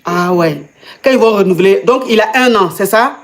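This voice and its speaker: A woman's voice: mid-range voice, fairly quick, a little hiss or hum. A woman with an Ivorian French accent hosts a live call-in advice chat, asking many questions and stammering repeats in disbelief. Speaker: host and coach.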